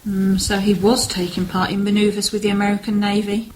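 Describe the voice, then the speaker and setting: A woman's voice: medium pitch, flat delivery, a little fast, a little mellow, slight background noise, lightly acted, rough recording. A British woman playing a reticent, apologetic officer's wife under questioning in a radio drama, hesitant and polite. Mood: defensive. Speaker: actor in amateur radio play. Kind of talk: scripted radio drama dialogue.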